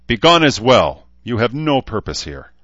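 A man's voice: on the low side, lively intonation, a little slow, fairly bright tone, voice pushed up. In a slightly muffled, roomy recording, a man voices an ancient male elf lord of a fantasy tale. He is haughty and stern, phrasing everything in formal, archaic command. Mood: commanding, disdainful. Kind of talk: fantasy audiobook character voice. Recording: dull, lo-fi, roomy sound.

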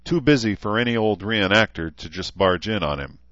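A man's voice: fairly low pitch, animated, normal speed, fairly bright tone, no room sound, highs cut off, pitched above the performer's own voice. A man voicing a surly, dim-witted town guard who growls. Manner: growling then uneasy.

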